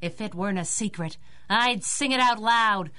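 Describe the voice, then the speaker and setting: An actress playing a confident, playful young masked crimefighter in a 1930s-style radio drama. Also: female voice, medium pitch, normal speed, bright, forceful tone, smooth, close to an everyday voice. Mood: admiring.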